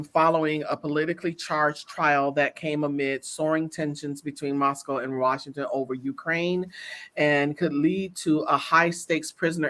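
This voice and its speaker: A woman with a deep, resonant voice co-hosting a casual talk show, reading news aloud and then talking it over earnestly, with many restarts.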